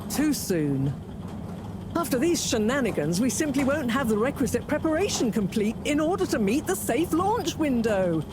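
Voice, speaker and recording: An actress playing a brisk, sharp-tongued woman scientist in a video game, theatrical and emphatic. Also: sits high, big pitch swings, noisy background. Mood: worried, explaining.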